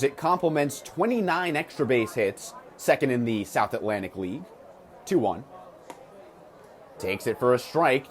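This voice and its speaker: A man's voice: on the high side, a little mellow. An American man calling live baseball on the radio, mixing punchy, clipped calls with stat-filled asides. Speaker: baseball radio play-by-play announcer. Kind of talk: live sports commentary. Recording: clear ballpark noise behind the voice.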